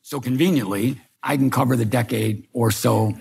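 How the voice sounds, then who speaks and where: An older man from Chicago, a former agent, telling his own story in wry, halting fashion at a public talk. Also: medium pitch, creaky, a little mellow. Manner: wry storytelling with hesitant restarts. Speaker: panel speaker, former FBI agent. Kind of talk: public talk, personal recollection. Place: museum event room.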